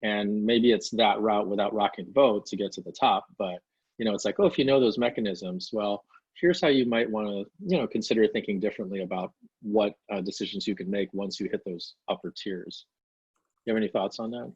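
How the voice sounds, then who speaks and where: Low, husky man panelist, thoughtful, over a dull call line. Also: a little fast, darkish tone, dry recording. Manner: thoughtful, hedging questions. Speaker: panelist in healthtech. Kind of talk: live online panel talk. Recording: dull lo-fi video call audio.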